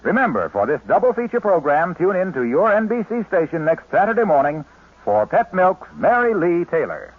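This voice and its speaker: A man's voice: sits high, very animated, moderate pace, noisy background. A man announcing and reading a scripted commercial in an upbeat, salesy way. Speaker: radio announcer. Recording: thin, phone-like old radio broadcast.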